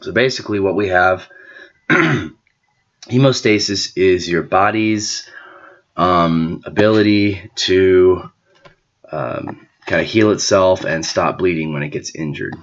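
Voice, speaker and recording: Man, very low voice, sick and hoarse, throat clearing, casual lecture, dull lo-fi recording. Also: slow. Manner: frequent throat clearing and filler words. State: sick, losing his voice. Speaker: teacher explaining a medical topic. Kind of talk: informal whiteboard lecture.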